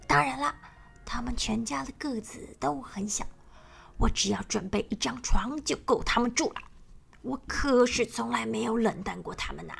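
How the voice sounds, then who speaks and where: Woman voicing a sneaky croc dad, low whispery scheming, bit of grit. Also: creaky, breathy edge, exaggerated voice. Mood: boastful. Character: sly cunning adult male caiman.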